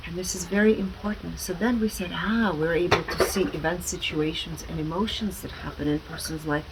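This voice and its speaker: A woman speaking earnestly and deliberately as an interview guest, explaining her work with emphatic stresses. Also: lowish voice, fairly even intonation, very noisy. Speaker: guest, alternative wellness practitioner.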